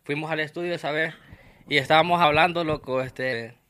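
A young man with a Salvadoran Spanish accent, talking easily as a guest in a casual chat. His voice sits a little high, and he trails off and restarts often. He keeps the tone calm and unhurried. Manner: relaxed, says "loco" often, hesitant restarts. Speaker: podcast guest, rapper.

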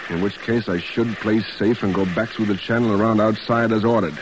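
An actor playing a decisive but anxious wartime merchant ship captain in an old radio drama. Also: male voice, on the low side, steady tone, brisk, natural voice. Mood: torn, tense. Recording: noisy old radio broadcast recording.

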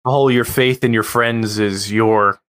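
A man's voice: lowish voice, steady tone, a little slow, fairly bright tone, smooth. A man co-hosting a podcast, explaining things in casual fan chat. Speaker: podcast co-host.

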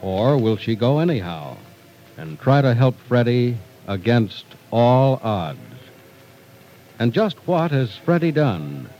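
A man announcing in a polished, deliberate 1940s radio style, reading scripted narration and sponsor copy. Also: mid-range voice, big pitch swings, dark tone, a little hiss or hum, poor audio.

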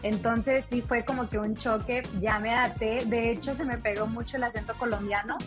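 A young woman with a Mexican accent recounts her story as a talk-show guest, slipping into the voices of the people she quotes. Her voice sits a little high, and music plays steadily underneath. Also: steady tone, a little fast. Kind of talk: casual interview chat.

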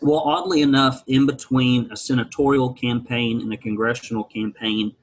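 Husky guy, folksy and wry, telling his story to an interviewer. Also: mid-range voice, steady tone, moderate pace, rough recording. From rural Alabama. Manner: wry, folksy, blunt humor. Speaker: guest, business founder.

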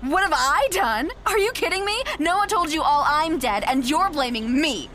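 A woman's voice: sits high, animated, normal speed, slight background noise. An actress playing a vain, indignant young con-artist girlfriend in an audio drama, haughty and condescending. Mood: indignant, angry. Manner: haughty, sharp-tongued, condescending.

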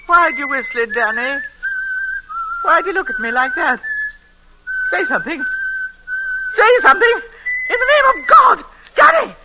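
A woman's voice: very high-pitched, huge pitch swings, unhurried, pauses often, heavily acted. An actress playing a fretful, needy older woman in old-time radio melodrama, overwrought, quavering and repeating herself. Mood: rising terror. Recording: noisy vintage radio recording.

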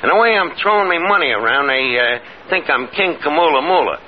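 An actor playing a boastful, daydreaming New York wiseguy who brags with mangled grammar and hesitations in an old-time radio comedy. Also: male voice, fairly high pitch, lively intonation, fast, natural voice. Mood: impatient. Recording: noisy, dull vintage radio broadcast.

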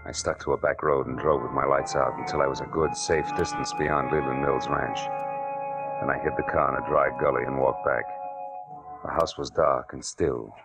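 An actor playing a tough, wry private detective in an old-time radio drama, terse and sardonic. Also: male voice, extremely low pitch, fairly even intonation, normal speed, over loud music. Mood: tense, narrating.

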